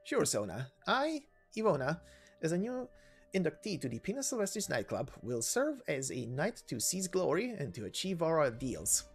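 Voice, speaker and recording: Woman playing a brash, animated young female knight. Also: deep voice, normal speed, soft background music. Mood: eager, determined. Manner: cocky, slangy, emphatic. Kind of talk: game story voice acting.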